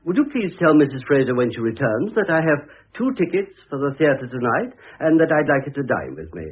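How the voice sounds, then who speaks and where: An actor in an old-time radio drama playing a pompous, self-assured gentleman suitor with a condescending manner. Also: medium pitch, sing-song, fairly quick, slightly rough. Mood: brisk, self-satisfied. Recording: muffled, narrow-band old radio recording.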